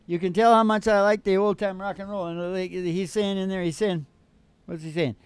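A man's voice: high voice, steady tone, moderate pace, clear, resonant tone, no echo. An older man in casual, folksy, rambling stage banter, speaking into a stage microphone. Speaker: podcast host and country musician. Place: small curtained stage indoors. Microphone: stage microphone on stand. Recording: guitar accompaniment, live stage sound.

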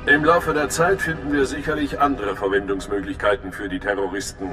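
An actor playing a stern, formal intelligence overseer in a sci-fi game, talking in a clipped, official way. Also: male voice, little pitch movement, a little fast, music in the background, lightly acted. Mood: formal, businesslike. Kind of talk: dramatic sci-fi game dialogue. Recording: echoey hall with faint rumbling noise.

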